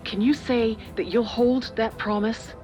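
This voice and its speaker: Woman acting a measured, persuasive older official. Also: on the high side, fairly even intonation, a little mellow, soft background music, natural voice. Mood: persuasive, insistent. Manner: measured, persuasive, slightly condescending. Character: older female corporate official and parent, calculating, persuasive, patronizing. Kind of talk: video game dramatic voice acting.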